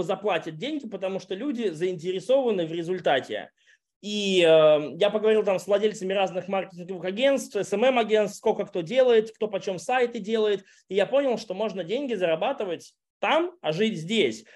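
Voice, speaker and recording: A man, a guest on a podcast, talking business in relaxed, rambling chat with casual swearing. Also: high-pitched.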